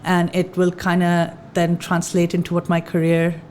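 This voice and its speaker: A woman's voice: lowish voice, monotone, normal speed, a little hiss or hum. A woman from Pakistan with a Pakistani English accent, a podcast guest talking candidly and joking in casual chat. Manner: candid, joking, laughs brightly.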